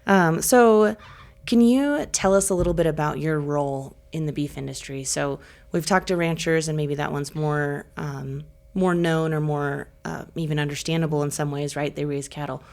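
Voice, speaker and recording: A woman's voice: deep voice, normal speed, gravelly, darkish tone. A woman hosting an interview podcast, asking questions in a friendly, conversational way. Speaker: podcast host.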